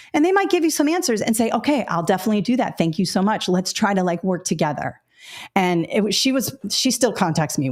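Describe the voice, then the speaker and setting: A woman in her forties presenting a webinar for teachers in a loud, candid, chatty way, telling stories from her classroom days. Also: medium pitch. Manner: chatty, candid, animated storytelling. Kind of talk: casual webinar talk to teachers.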